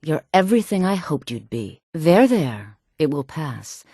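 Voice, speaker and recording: A woman's voice: sits low, sing-song, unhurried, mellow, slightly rough, no echo, clean studio sound, exaggerated voice. An actress voicing a sultry, seductive cannibal cultist woman in a fantasy video game, with a silky, beckoning delivery. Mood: gracious, welcoming.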